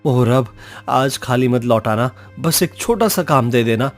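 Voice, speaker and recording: A man's voice: medium pitch, lively intonation, a little slow, natural voice. A man playing a poor, anxious, kind-hearted village blacksmith in a cartoon story, earnest and dramatic, over music. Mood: downcast. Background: background music.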